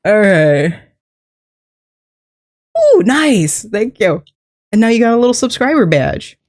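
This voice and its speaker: A game streamer chatting casually with a live audience while a little sick, voice deeper than usual, rambling and laughing often as they greet and thank viewers. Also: wildly swooping pitch, slow, darkish tone.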